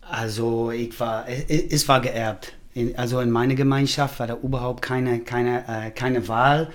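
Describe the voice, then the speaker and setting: A middle-aged man from Scotland with a Scottish accent, a podcast guest chatting casually in German, his first language English, pausing often and slipping into English words. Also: mid-range voice, fairly even intonation. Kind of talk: casual chat.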